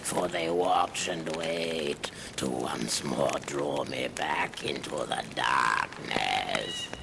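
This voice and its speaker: An ancient wandering spirit from a video game, with an extremely low, gravelly voice. He speaks in slow, menacing riddles that sink into a rasping whisper. The audio is very noisy. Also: heavily acted. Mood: brooding, cryptic. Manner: slow, theatrical, riddling delivery. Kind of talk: video game cutscene acting.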